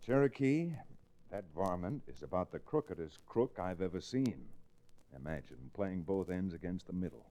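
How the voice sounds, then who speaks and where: A man's voice: low-pitched, animated, normal speed, warm tone. A man playing an earnest, firm lawyer-rancher hero and narrator in a 1940s radio western. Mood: indignant. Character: steady, principled lawyer-rancher hero of the Old West. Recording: noisy old radio recording.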